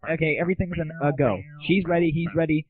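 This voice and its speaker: A man's voice: a little high, fairly even intonation, fast talker, crowd noise. A man hosting an internet audio show, delivering a crude, sarcastic and casual comic rant. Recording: narrow, tinny lo-fi internet audio.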